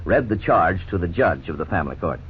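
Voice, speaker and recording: A middle-aged man playing a lawyer who narrates and explains the case in a measured, formal way, in 1950s radio drama style. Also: low-pitched, very animated. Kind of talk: scripted narration. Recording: scratchy 1950s radio broadcast, tinny, faint hiss.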